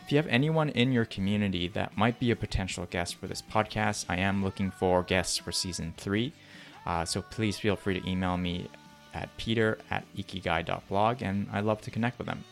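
A man hosting a podcast, reading a measured intro and then asking questions with occasional ums. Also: low-pitched, creaky, soft background music. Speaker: podcast host.

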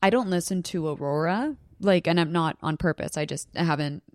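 Woman, young adult, from Southeast Alaska, podcast guest, excited storyteller, lowish voice, dry close sound. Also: normal speed, dry recording. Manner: excited, storytelling, breaks into laughter. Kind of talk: casual chat.

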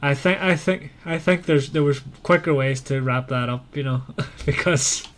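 A man's voice: on the high side, normal speed, a bit bright, a little hiss or hum. A man hosting a casual book discussion in a conversational, questioning way. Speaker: podcast host.

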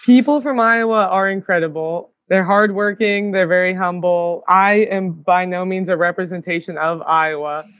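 A woman's voice: fairly low pitch, fairly even intonation, normal speed, hardly any pauses, dry recording. Eager woman guest, filler-heavy chat, over a muffled dry call line. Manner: eager, talks in bursts with many fillers. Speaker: podcast guest. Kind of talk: casual chat.

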